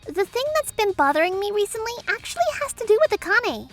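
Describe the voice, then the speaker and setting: Anxious newlywed manga heroine, played by a woman: bright, very high, expressive, timid. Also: quick pace, faint music behind, exaggerated voice. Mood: narrating, mildly troubled. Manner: expressive, flustered. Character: anxious, conflict-shy young newlywed wife. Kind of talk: manga dub first-person narration and dialogue.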